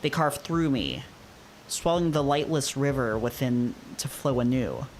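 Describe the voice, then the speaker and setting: A man playing a brooding, dazed visual novel narrator in a solemn, measured dramatic reading, with some background noise. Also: medium pitch, lively intonation, lightly acted, low-quality recording. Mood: solemn, grave. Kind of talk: dramatic literary read-aloud narration.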